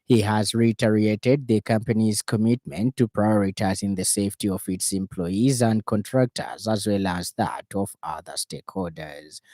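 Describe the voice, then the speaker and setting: A man's voice: lowish voice, fairly even intonation, moderate pace, hardly any pauses, darkish tone, no echo, clean studio sound. A man with a Zambian accent reading a scripted radio news bulletin in a formal, declarative way. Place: radio studio.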